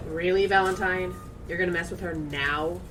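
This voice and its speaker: A woman playing a gruff, blunt, scolding friend in an audio drama. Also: lowish voice, fairly even intonation, normal speed, crowd noise, lightly acted. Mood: annoyed. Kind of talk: audio drama character acting. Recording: slightly distant with faint noise.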